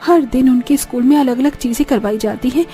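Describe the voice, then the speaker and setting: A woman's voice: high voice, fairly quick, warm tone, faint music behind, natural voice. A woman voicing a weary, discouraged young village farm wife in a cartoon story, lamenting in a plaintive way. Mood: worried. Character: weary, discouraged young farming daughter-in-law in a poor village.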